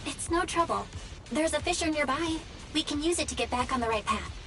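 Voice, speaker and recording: High, courteous young guide. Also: female voice, expressive, fairly quick. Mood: bright, curious. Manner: polite, explanatory, reassuring. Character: courteous young female guide, helpful and encouraging. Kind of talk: video game voice acting. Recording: loud music over game dialogue.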